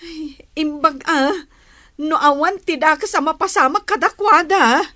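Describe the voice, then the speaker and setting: A fretful, disapproving Ilocano village mother from a comedy radio play, played by a woman. Her voice is high and sharp, captured close and dry. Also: measured pace, no room sound. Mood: fretful, exasperated.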